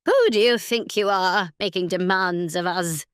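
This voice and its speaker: A woman's voice: lowish voice, measured pace, clean tone, no room sound, clean studio sound, close to an everyday voice. A woman voicing a cold, cruel, haughty noblewoman in an audiobook drama, sneering and dismissive. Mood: sneering, angry.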